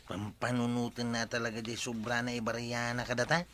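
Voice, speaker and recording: A man's voice: mid-range voice, monotone, a little fast, fairly bright tone, slight background noise, close to an everyday voice. A man acting the teasing, chatty nephew of a village captain in an Ilocano comedy drama. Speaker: radio comedy actor.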